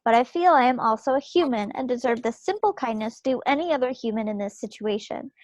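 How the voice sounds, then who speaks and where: A woman performs a proud young 1940s depot operator with a high, closely recorded voice. She argues her case in formal, firm sentences, as in a stage play read over a video call. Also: lively intonation, brisk, darkish tone, dry recording, close to an everyday voice. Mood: firm, defiant. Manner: formal, firm, articulate self-defence. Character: proud, indignant young wartime wife, railroad depot telegraph operator. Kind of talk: one-act play table read.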